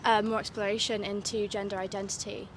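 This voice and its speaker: Female vox-pop interviewee, earnest and quick, filler-heavy, loud street traffic. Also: mid-range voice, little pitch movement. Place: city street outdoors.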